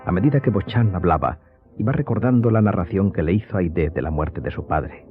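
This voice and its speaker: A man's voice: low-pitched, expressive, a little fast. A man narrating a radio drama as a scripted storyteller. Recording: tinny, narrow-band audio. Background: music.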